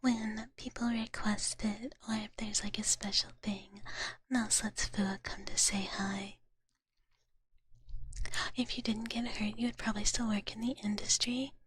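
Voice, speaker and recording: A woman livestreaming ASMR in a breathy whisper, chatting gently and playfully with her viewers, close to the mic. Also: medium pitch, fairly even intonation, a little slow, no room sound. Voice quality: breathy, mostly unvoiced whisper. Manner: gentle, playful, speaks of herself in third person. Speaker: livestream ASMR host in a virtual dragon big-sister persona.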